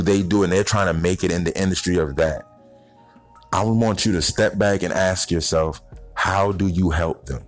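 A man in his thirties hosting an informal motivational podcast, speaking straight to listeners in slangy language with rhetorical questions. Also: low voice. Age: 30s. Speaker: podcast host.

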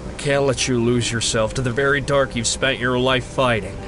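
A man's voice: mid-range voice, fairly even intonation, moderate pace, music in the background, natural voice. A man playing a noble, earnest superhero who is reluctant to fight, in a resolute audio drama performance. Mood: resolute, pleading.

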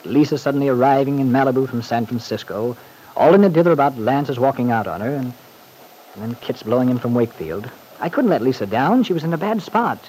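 Guy acting a harried posh-mannered gent in an old radio serial, wry, swoopy pitch, bit of hiss. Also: animated, brisk, dark tone, close to an everyday voice. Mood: rueful, reflective. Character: wry, harried, well-off gentleman confiding in his manservant. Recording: faintly noisy old radio recording.

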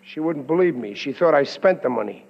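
An actor playing a hapless, aggrieved middle-aged working-class husband, slangy and grumbling, in 1940s radio comedy style. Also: male voice, a little high, expressive, fairly quick, vocal fry. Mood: upset. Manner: plain-spoken, slangy grumbling. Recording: muffled, noisy old radio recording, reverberant.

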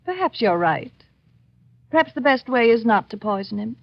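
An actress playing a gracious, coldly scheming colonial wife in an old radio drama, with a measured, coaxing, commanding manner. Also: female voice, a little high, sing-song, vocal fry, dry recording, exaggerated voice. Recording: dull lo-fi old radio recording.

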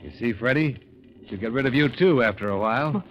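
An actor playing a wry, tough, clipped-talking investigator in an old-time radio detective drama. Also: male voice, medium pitch, brisk, natural voice. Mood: needling, tough. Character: wry, hard-boiled insurance investigator, male, tough and needling. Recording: dull, noisy old radio recording.

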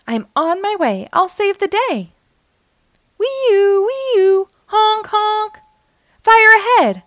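Woman as an excitable, high-pitched little fire engine. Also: a little slow, no room sound, clean studio sound, full character voice. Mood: excited, urgent. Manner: excited, singsong siren and horn calls. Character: eager little talking fire engine, plucky young hero. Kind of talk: children's storybook read-aloud.

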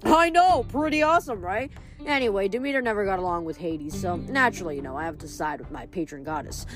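A woman voicing a cheerful, boastful male farming god who chats in a bouncy, lively way. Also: mid-range voice, quick pace, soft background music, close to an everyday voice. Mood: chatty.

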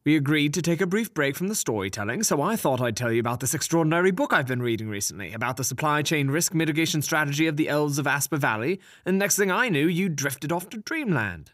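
A man's voice: fairly high pitch, expressive, quick pace, hardly any pauses, fairly bright tone, dry recording, studio quality. Pompous, swooping male sidekick. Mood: smug. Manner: prim, wordy, sarcastic. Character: pompous, bickering male sidekick to an old storyteller. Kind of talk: comedic audio drama acting.

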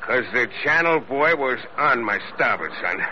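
A man's voice: medium pitch, expressive, measured pace, close to an everyday voice. Old sea captain played by a male actor: boozy, proud, rambling, pleading for his ship. Vintage radio play, dull noisy sound. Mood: earnest pleading. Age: older. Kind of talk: 1940s radio acting. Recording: noisy, muffled old radio drama recording.

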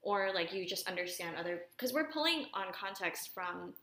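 A young woman with a raspy voice co-hosting a podcast, talking frankly and relaxed in long run-on thoughts. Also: medium pitch, moderate pace. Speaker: podcast co-host. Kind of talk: casual chat. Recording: echoey room.

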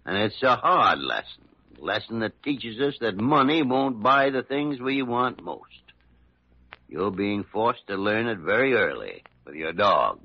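An old American doctor, gruff, grave and kindly. His low voice rises and falls widely, with halting, emphatic phrases and repeated words. The sound is a dull vintage radio drama recording. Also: full character voice. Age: older. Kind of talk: 1940s-50s radio drama acting.

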